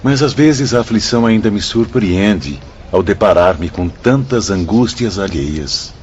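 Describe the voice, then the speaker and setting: A man's voice: fairly low pitch, lively intonation, unhurried, darkish tone. An actor with a Brazilian accent playing an earnest, self-doubting, sorrowful male spirit in a radio drama. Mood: wistful anguish. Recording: muffled lo-fi track, ambient noise.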